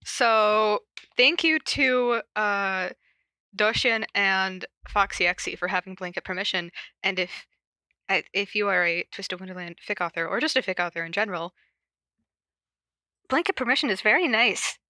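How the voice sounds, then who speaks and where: A woman with a notably bright voice in a dry, close recording. She chats casually as a podcast co-host, giggly and playfully teasing, and reads aloud in the same informal way. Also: mid-range voice, normal speed, no room sound. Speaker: podcast co-host and fan fiction reader. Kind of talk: casual chat with reading aloud.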